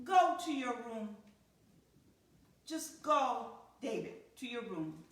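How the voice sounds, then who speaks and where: A woman's voice: on the high side, sing-song, slow, a bit bright, pitched below the performer's own voice, exaggerated voice. A woman reading a storybook as an exasperated mother, scolding a naughty little boy with emphatic repetition. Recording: very echoey, reverberant room sound.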